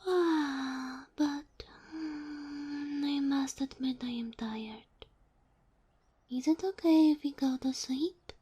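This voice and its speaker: A woman voicing a sweet, polite kitsune familiar in an ASMR roleplay, soft and whispery, with formal, bashful phrasing. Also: sits high, fairly even intonation, slow. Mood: gentle, devoted. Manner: formal, courteous, slightly bashful. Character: sweet, polite kitsune fox-girl familiar, female, ageless.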